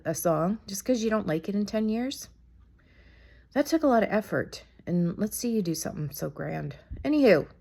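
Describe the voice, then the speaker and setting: A woman with a raspy voice from being sick, chatting casually to camera in a rambling, opinionated gossip vlog. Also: medium pitch, darkish tone. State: sick, raspy from a cold, coughing. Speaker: vlogger.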